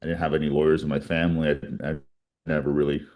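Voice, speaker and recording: A man's voice: rumbling low, little pitch movement, fast talker, darkish tone. A man in his forties who grew up in Colombia, a criminal defense attorney telling his story in an earnest, reflective way with frequent restarts. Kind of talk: interview storytelling.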